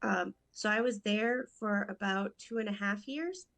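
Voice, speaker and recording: Gravelly-voiced woman guest from Rochester. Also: mid-range voice, a bit bright. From Rochester, New York. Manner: earnest, detailed, quick in stretches. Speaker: judicial candidate, guest. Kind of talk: casual interview talk.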